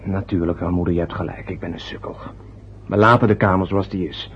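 A man's voice: low-pitched, fairly even intonation, brisk, close to an everyday voice. An actor playing a young adult student son who placates his parents in an old radio play. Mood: placating. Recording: dull old radio play recording with faint hiss.

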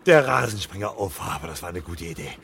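Gehetzten Flüchtigen, tiefe Stimme, verrauscht. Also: male voice, deep voice, animated, quick pace, vocal fry, a bit bright, slight background noise, heavily acted. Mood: tense, excited. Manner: agitated muttering to himself with exclamations and curses. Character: hunted fugitive fireman, defiant, bitterly sarcastic, frightened. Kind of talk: 1970s German radio play acting.

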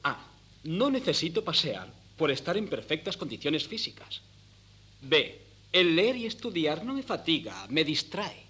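An actor playing a bookish, disdainful young son in a Spanish radio comedy, with a Castilian accent and pedantic, clipped phrasing. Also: male voice, on the high side, big pitch swings, unhurried, pushed and bright, breathy edge, heavily acted. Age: young adult.

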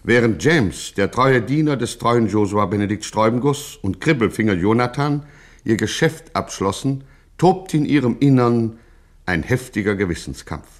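A man's voice: medium pitch, expressive, moderate pace. A man narrating a scripted radio satire in a dramatic, solemnly pompous, mock-heroic manner.

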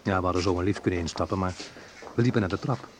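An actor playing a wistful man recounting his youth in a reflective, measured radio play narration, with a slightly husky voice. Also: low-pitched, background noise, close to an everyday voice. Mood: subdued. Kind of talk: literary first-person radio play narration.

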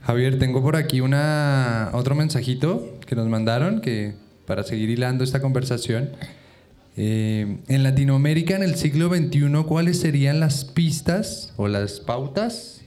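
A man moderating a radio discussion circle, inviting guests to speak in a relaxed, unhurried way. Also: mid-range voice, soft background music. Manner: relaxed, inviting, slow-paced questions.

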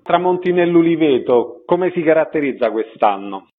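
A man's voice: a little high, lively intonation, normal speed. A man hosting a radio interview, announcing event details and putting questions to guests. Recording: thin phone-like band-limited sound.

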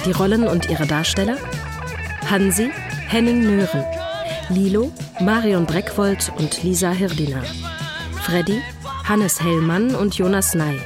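A woman announcer reading radio drama credits in a formal, measured style. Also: medium pitch, over music.

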